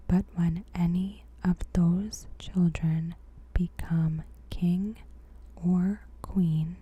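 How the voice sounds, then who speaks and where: A woman softly whispering a scripted recap in ASMR style, breathy and gentle, with deliberate pauses. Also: on the low side, muffled recording. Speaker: ASMR host.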